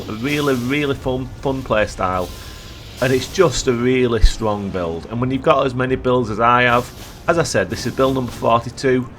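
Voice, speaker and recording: Male gaming host, hoarse with a cold, enthusiastic and jokey, mid-range voice. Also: mid-range voice, fairly bright tone. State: croaky from a cold. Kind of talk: casual gameplay commentary.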